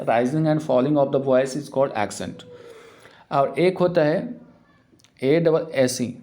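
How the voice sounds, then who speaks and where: A male teacher works through grammar errors at an unhurried, measured pace. He explains points conversationally and then reads each English example sentence out slowly and deliberately, as if dictating. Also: on the high side, a little mellow. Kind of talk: exam-prep grammar lesson.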